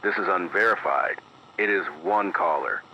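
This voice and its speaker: A man playing a grave, careful late-night emergency announcer, reading a formal scripted bulletin with measured, precise delivery through an AM radio filter. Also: low-pitched, animated. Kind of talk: scripted analog horror emergency news bulletin. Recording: dull band-limited AM radio, faint noise.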